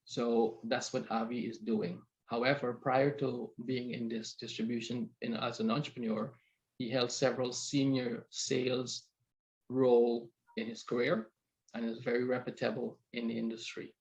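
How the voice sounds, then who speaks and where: A man hosting an interview show, speaking in a sincere but halting way and often repeating words. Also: medium pitch, level tone, gravelly, highs cut off. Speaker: podcast host. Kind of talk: casual interview, introducing a guest.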